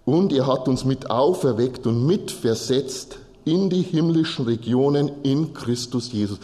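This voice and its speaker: A man's voice: mid-range voice, expressive, a little slow, warm tone. A man preaching, explaining scripture in short, repeated phrases and addressing listeners directly. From Bavaria, Germany. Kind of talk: sermon, teaching from Bible texts to a congregation. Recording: long hall reverb.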